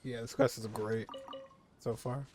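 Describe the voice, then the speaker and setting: A man's voice: medium pitch, little pitch movement, unhurried. A young man giving informal let's play commentary, reacting aloud to the game with its music behind him. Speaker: gaming streamer.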